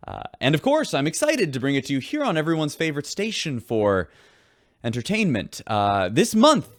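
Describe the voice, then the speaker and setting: A man's voice: mid-range voice, no echo, clean studio sound. An actor playing a wry, showy male radio host aboard a spaceship in a sci-fi audio drama. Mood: showy, reluctant.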